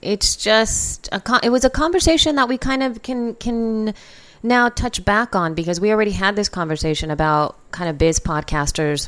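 A woman's voice: lowish voice, a bit bright. A woman co-hosting a podcast in casual chat, friendly and giggly, often restarting her sentences. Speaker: podcast co-host.

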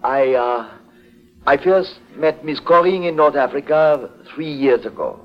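An actor playing a polite, anxious foreign exporter in a 1940s radio detective drama, speaking formally and hesitantly. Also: male voice, on the high side, unhurried, close to an everyday voice. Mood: earnest, uneasy. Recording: dull old 1940s radio broadcast.

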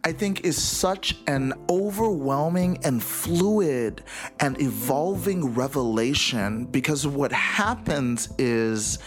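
An interview guest speaking reflectively and conversationally, in an emphatic, expressive storytelling way. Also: mid-range voice, slow. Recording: music playing behind the voice.

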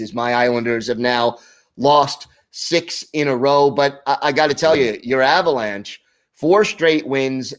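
A man hosting a sports podcast, chatting in a friendly, upbeat way with his guests. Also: mid-range voice. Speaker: podcast host. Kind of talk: sports podcast chat and read ad.

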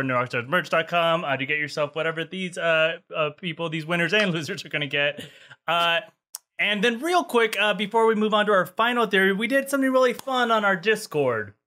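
Guy hosting a chatty show, high bright voice, jokey, rattles off plugs. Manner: playful, laughing, quick plugs. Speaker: show host. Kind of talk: casual group chat, hosting.

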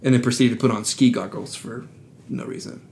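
A man's voice: mid-range voice, fairly even intonation, fairly quick, gravelly, a little mellow, slight background noise. Enthusiastic man explaining sports. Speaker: podcast co-host. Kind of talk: casual chat.

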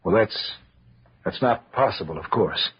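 A man playing an uneasy scientist who tries to reassure, halting and measured, in an old radio thriller. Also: lowish voice, expressive, breathy edge, exaggerated voice. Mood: uneasy, reassuring. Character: uneasy but reassuring male robotics scientist. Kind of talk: 1950s radio thriller acting. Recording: muffled old radio recording.

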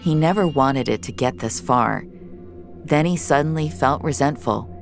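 A woman's voice: a bass voice, moderate pace, gravelly, music in the background. A woman narrating a scripted fiction story as its storyteller.

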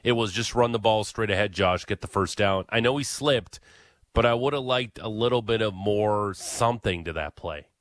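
A man hosts sports talk radio in a low voice that sits close and dry on the mic. He gives blunt opinions and hammers his points home by repeating them. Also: moderate pace, fairly bright tone, no room sound.

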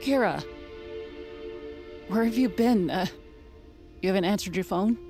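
A woman's voice: mid-range voice, unhurried, dark tone, lightly acted. An actress playing a haunted, desperate mother in an audio drama, earnest, hesitant and strained. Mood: urgent pleading. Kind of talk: audio drama acting with first-person narration. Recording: music under the voice.

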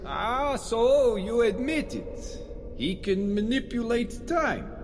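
An actor playing a defiant, calculating Russian commander with menacing, idealistic conviction in an audio drama. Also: male voice, extremely high pitch, very animated, slow, long reverb tail, a little hiss or hum, exaggerated voice. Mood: coolly grandiose.

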